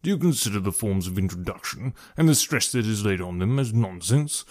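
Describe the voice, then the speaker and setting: A man voicing a calmly ironic middle-aged gentleman father in an audiobook, measured, formal and deadpan. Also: fairly low pitch, close to an everyday voice. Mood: mock serious. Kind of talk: audiobook character voice.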